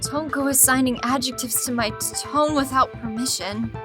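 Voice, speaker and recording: A woman's voice: high-pitched, lightly acted. Woman playing a piercing, overwrought gloomy teen girl. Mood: defensive, desperate. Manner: melancholy, halting, overwrought. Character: gloomy, anxious, desperate teenage girl with split personality. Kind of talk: anime game fandub acting. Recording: loud music behind. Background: music.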